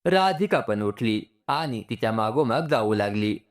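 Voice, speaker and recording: Expressive male storyteller with lively pitch. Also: unhurried. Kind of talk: scripted story narration, reading dialogue of characters.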